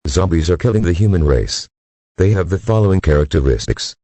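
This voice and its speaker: A man's voice: deep voice, expressive, fairly quick, mellow, slightly rough, no echo. A man delivering a scripted emergency broadcast announcement in a stern, formal, synthetic-sounding manner.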